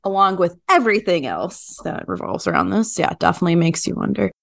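A woman hosting a podcast in a conversational, informal and expressive way. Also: on the low side, a little fast, gravelly. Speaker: podcast host.

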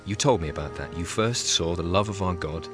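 An actor playing a compassionate English sea captain in a radio drama, sincere and pleading. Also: male voice, low voice, fairly quick, over music, close to an everyday voice. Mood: regretful, earnest.

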